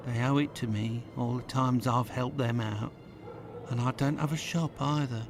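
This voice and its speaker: An actor doing a gruff man. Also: medium pitch, steady tone, moderate pace, close to an everyday voice. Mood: blunt. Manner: blunt, casual, unbothered. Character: gruff working-class London man, unbothered. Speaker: game character. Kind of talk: video game voice acting.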